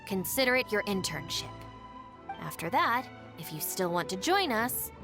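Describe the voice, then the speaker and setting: A wry, no-nonsense young second-in-command for a video game. Her voice sits at a medium pitch and stays matter-of-fact, with music faintly behind it. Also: big pitch swings, normal speed. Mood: businesslike. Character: level-headed, dry young female gang deputy.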